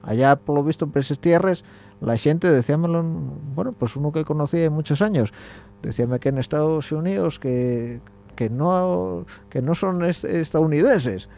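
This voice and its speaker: A man's voice: on the high side, normal speed, gravelly, warm tone. A young man, hosting a casual radio chat, rambling, laughing often and trailing off mid-sentence. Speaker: radio show host.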